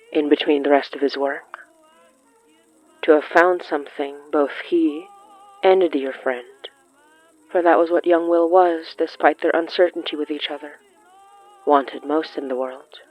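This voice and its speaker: A narrator reading scripted horror fiction in a deliberate, literary way with dramatic pauses. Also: mid-range voice, dull audio, soft background music.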